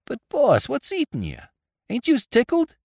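Man doing a squeaky slangy Bowery crook. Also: huge pitch swings, normal speed, vocal fry, a little mellow, no echo, studio quality, exaggerated voice. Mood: wheedling. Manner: tough street slang, eager and breathless. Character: excitable male Bowery crook sidekick, slangy, dim.

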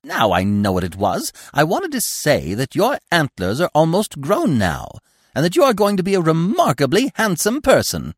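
A man voicing a chatty, fussy, excitable squirrel in a storybook, gushing and emphatic. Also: fairly high pitch, normal speed, breathy edge, no echo, clean studio sound, voice pushed up, exaggerated voice. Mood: gushing, excited.

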